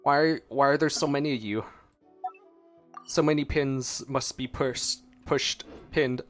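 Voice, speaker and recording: A man giving casual, expressive gameplay commentary, talking to himself playfully as he plays. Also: medium pitch, measured pace. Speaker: gaming streamer. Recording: game music behind voice. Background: video game music.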